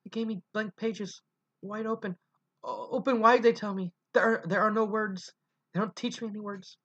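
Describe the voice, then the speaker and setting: A reader voicing a dazed young colt who murmurs in halting, dreamy fragments with stammers. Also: mid-range voice, fairly even intonation, a bit bright, voice pushed up, close to an everyday voice. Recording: dull lo-fi recording.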